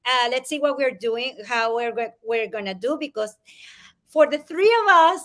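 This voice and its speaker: High, bright woman. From Spain, raised in Venezuela. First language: Spanish. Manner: animated, expressive, quick to laugh. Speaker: guest life coach. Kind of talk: live interview, explaining a method.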